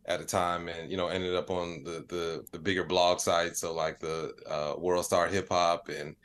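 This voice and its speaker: Low-voiced American man, casual and laid-back. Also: level tone, normal speed, fairly bright tone, slight background noise. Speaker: podcast guest, comedian and digital creator. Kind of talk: casual conversational interview answers.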